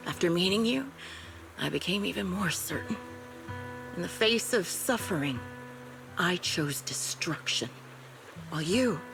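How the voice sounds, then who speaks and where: A vengeful ex-soldier haunted by war, in a story-driven game. Her voice sits low and broods, with measured, breathy trailing phrases. Music plays clearly underneath. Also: rough recording. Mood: resigned, menacing. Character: haunted vengeful ex-soldier woman, coldly resigned, confessing killer. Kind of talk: scripted video game story acting.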